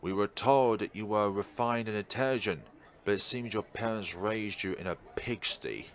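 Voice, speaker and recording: A man's voice: on the low side, normal speed, natural voice. A man voicing a protective half-elf alchemist in a visual novel, blunt and cutting. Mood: indignant, cutting.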